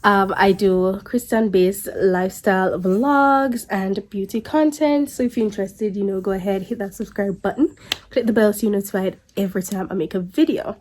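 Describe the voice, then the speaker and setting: Young woman, stuffy congested voice, casual vlog chat, rambling, middle pitch. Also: moderate pace, a little mellow. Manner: chatty, stops and restarts, rambling. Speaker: vlogger.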